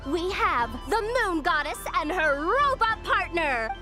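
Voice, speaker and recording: A woman voicing a cocky, excitable little girl in a video game, with brash announcer-style delivery over music. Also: very high-pitched, a little slow, full character voice. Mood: theatrical, excited. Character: excitable little girl robot battler in moon goddess costume, cocky. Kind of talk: animated game voice acting. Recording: music behind the voice.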